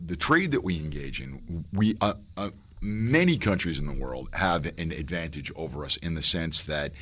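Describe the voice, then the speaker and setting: A man's voice: rumbling low, animated, moderate pace, fairly bright tone. A middle-aged man, a professor guest on a radio talk show, explaining at length with fillers and restarts.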